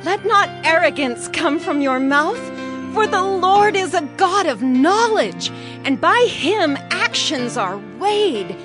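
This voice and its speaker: An actress playing a devout, grateful biblical mother in a dramatized scripture reading, speaking in a solemn, fervent, measured way. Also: very high voice, big pitch swings, music in the background, exaggerated voice. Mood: exultant, solemn.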